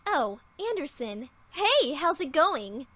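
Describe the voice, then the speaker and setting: A woman's voice: high voice, very animated, slow, bright, forceful tone, exaggerated voice. A woman voicing a snide, falsely sweet teenage mean girl in a visual novel. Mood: mocking.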